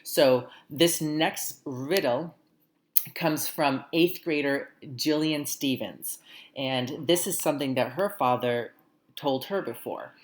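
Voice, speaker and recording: A woman hosting a school morning greeting, speaking warmly and encouragingly to students and reading slowly and carefully. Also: low-pitched. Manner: warm encouraging teacherly tone, slow careful reading. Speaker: school morning announcements host. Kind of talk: friendly talk to schoolchildren.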